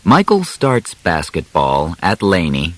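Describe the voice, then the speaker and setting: A man narrating an audiobook biography from a script, phrasing deliberately in short, separated chunks. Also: low voice, huge pitch swings, dry recording.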